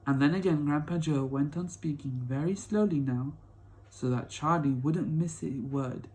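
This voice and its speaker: A man's voice: fairly high pitch, normal speed, darkish tone, rough recording. A man reading a children's story aloud as the storyteller, in a narrating style.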